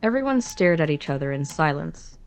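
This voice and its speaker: A woman's voice: deep voice, sing-song, a little fast, a little hiss or hum. A woman co-narrating a scripted story with casual, wry, conversational asides.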